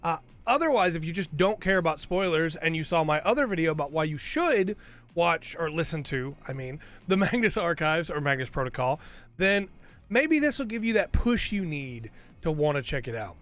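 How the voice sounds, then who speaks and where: A man with a cold talks through a casual commentary video. His voice sits a little higher than most men's, and he rambles on, tagging his points with "right?" as he goes. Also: sing-song, normal speed, a bit bright. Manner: rambling, tags lines with "right?". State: has a cold. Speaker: video host.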